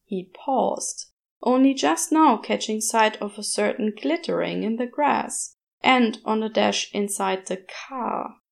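A woman's voice: on the high side, takes it slowly, gravelly. A woman reading a story aloud as scripted narration. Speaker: podfic reader.

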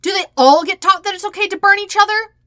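A woman's voice: fast, no echo, clean studio sound. A woman voicing a blunt, defensive, indignant teenage boy in an audiobook story, snapping in a harsh, volatile way. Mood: indignant, shouting. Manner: snapping, loud, harsh, high-strung.

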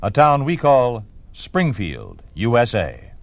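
A male announcer introducing a 1950s radio drama in a measured, declamatory way. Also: mid-range voice, huge pitch swings, vocal fry, very noisy.

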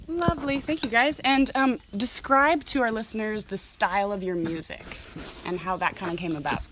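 A woman's voice: fairly high pitch, normal speed, background noise. A woman hosting a casual podcast interview, often chiming in with short, agreeable replies.

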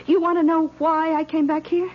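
A woman's voice: extremely high pitch, little pitch movement, normal speed. An actress playing a coy, outwardly sweet and soothing American woman in a radio drama. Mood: coy, probing. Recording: muffled, hissy vintage radio broadcast.

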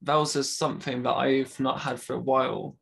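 A man's voice: mid-range voice, flat delivery, normal speed, vocal fry, fairly bright tone, breathy edge. A man who stammers, talking casually and openly with many fillers. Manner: stammers, talks openly about it. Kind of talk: casual conversation.

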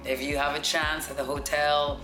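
Deep-voiced woman broadcaster. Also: normal speed. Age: 40s. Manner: lively, confident, practised broadcaster delivery. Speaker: guest, sports broadcaster. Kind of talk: relaxed storytelling interview. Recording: echoey room with music behind.

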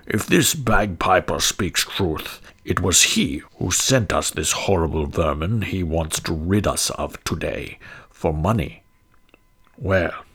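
A man's voice: deep voice, fairly bright tone, slightly rough, heavily acted. A man voicing a shrewd, scheming town councillor in a storybook reading, smug and reassuring.

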